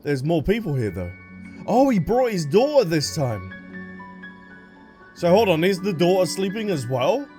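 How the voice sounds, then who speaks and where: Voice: medium pitch, very animated, unhurried, pauses often, warm tone, faint music behind. A game streamer giving casual, reactive commentary, thinking aloud while playing.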